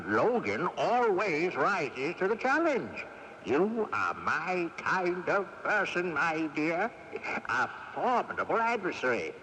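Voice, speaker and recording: A man's voice: on the high side, big pitch swings, takes it slowly, bright, forceful tone, full character voice. A man playing a cantankerous, courtly old ghost of a great-grandfather in a radio drama, with pompous, old-fashioned diction. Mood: amused, gallant. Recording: noisy old radio broadcast, long ghostly reverb.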